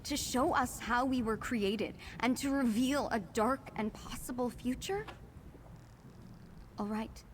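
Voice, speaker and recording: An actress playing an earnest young woman with hidden powers in a video game, speaking gravely. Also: on the high side, slow, a little hiss or hum. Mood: searching. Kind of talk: video game dramatic acting.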